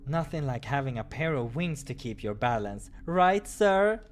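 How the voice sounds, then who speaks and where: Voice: mid-range voice, very animated, normal speed, lightly acted. A visual novel narrator voicing a sassy, eager, talkative male cat adventurer with a cheeky manner.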